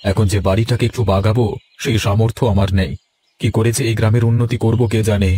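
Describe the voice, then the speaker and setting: A man's voice: on the low side, steady tone, quick pace, mellow, slightly rough. A man playing a weary, impoverished but courteous village landlord in a cartoon fairy tale. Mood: weary, despairing. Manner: self-pitying, questioning, addressing youngsters as an elder.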